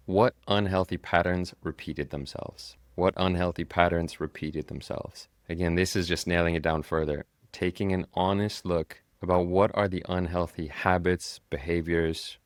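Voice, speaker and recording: Deep-voiced man with a sore throat. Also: dry recording, studio quality. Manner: calm, earnest, encouraging. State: recovering from a cold, sore throat. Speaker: podcast host. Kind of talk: motivational self-help talk. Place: traveling, recording on location.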